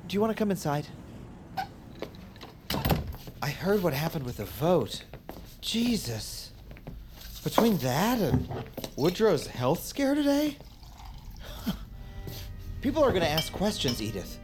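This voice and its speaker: An actor playing a cold, self-important doctor in an audio drama, dismissive, halting and accusatory. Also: male voice, high-pitched, very animated, background noise. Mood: concerned, probing.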